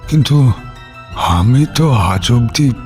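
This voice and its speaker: A man playing a regretful old grandfather in an audio drama, confiding memories haltingly. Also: medium pitch, animated. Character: old grandfather breaking a long silence, regretful. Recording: music behind the voice.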